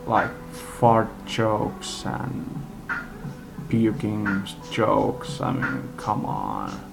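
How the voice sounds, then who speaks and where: A man giving an unscripted, casual movie-review rant in a halting, blunt way, in a homemade recording. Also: on the low side, very animated, creaky. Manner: halting, rambling delivery. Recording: homemade, radio music playing behind. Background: music from a live radio.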